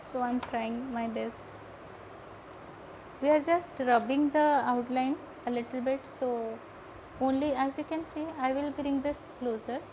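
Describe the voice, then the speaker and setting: Young Indian female art streamer, Odia native, high voice, halting and informal teaching, muffled low-grade audio. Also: steady tone, darkish tone. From Odisha, India. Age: young adult. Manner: hesitant, stumbling, repeats words. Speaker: art live-stream host and painting teacher. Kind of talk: casual live painting tutorial with chat. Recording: dull lo-fi audio with heavy background noise.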